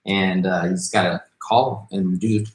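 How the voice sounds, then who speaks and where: A man's voice: sits low, steady tone, measured pace, reverberant. A man in his thirties hosting a casual podcast as a realtor, joking and laughing often as he talks. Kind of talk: casual chat.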